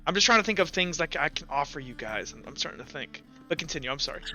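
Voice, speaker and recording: Male game master, high bright voice, casual and rambling, often correcting himself mid-explanation. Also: sing-song, fast, soft background music. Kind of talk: casual tabletop game narration and table talk.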